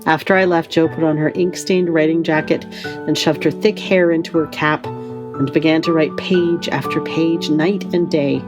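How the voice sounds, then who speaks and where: A woman acting in an audio play as a gentle, encouraging relative and narrator, tender and measured. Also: low-pitched, steady tone, music in the background, close to an everyday voice. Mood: reflective.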